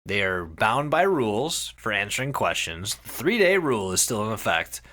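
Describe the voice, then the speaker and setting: Animated, bright-voiced male podcast host. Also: fairly low pitch, moderate pace. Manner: profane, emphatic, repeats phrases for effect. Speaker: podcast host telling a story to co-hosts. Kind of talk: casual, animated storytelling chat.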